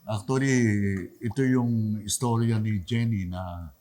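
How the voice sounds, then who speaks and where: A man with a Filipino accent and a slightly husky voice, answering interview questions as a drama director. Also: fairly low pitch, takes it slowly, mellow.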